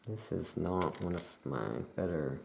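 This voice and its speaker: A soft-spoken ASMR host musing in a hushed, self-deprecating way while chatting through a craft task. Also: sits low, unhurried, gravelly, slight background noise.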